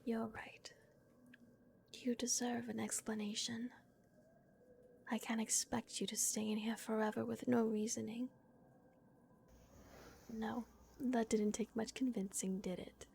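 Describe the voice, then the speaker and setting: A protective female vampire, as a woman whispers her leans in close with a soft, gravelly breath and a slightly raised pitch. She speaks slowly and carefully, with little hesitations between words. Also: flat delivery, a little hiss or hum. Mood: gentle, solemn. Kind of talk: whispered ASMR roleplay.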